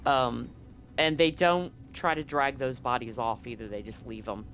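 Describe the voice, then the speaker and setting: A man's voice: medium pitch, steady tone, normal speed, a bit bright. A man running a tabletop horror game as game master, narrating loosely and correcting himself as he goes. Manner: loose, conversational, self-correcting.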